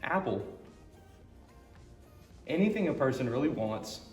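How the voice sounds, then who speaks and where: A man reads a picture book aloud at a middle pitch. The room is echoey, and music is faintly present in the recording. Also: big pitch swings, measured pace. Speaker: story time reader.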